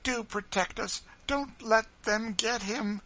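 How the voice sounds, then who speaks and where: A man's voice: sits high, takes it slowly, pushed and bright, voice pushed up, heavily acted. A man voicing a frightened young mother on the run, pleading in exclamations for a storybook. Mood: desperate, pleading.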